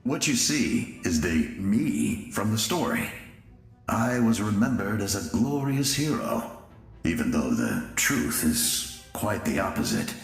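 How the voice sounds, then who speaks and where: A man's voice: on the low side, big pitch swings, takes it slowly, creaky, heavily acted. A man voicing a proud, ruthless long-dead knight in a video game, grave and theatrical. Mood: solemn pride. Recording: echoey, music behind.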